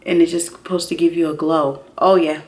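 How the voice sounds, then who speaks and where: A woman in her thirties chatting casually and excitedly to viewers as a beauty vlogger, expressive and slangy, repeating herself for emphasis. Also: deep voice, brisk. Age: 30s. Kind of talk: casual excited unboxing chat.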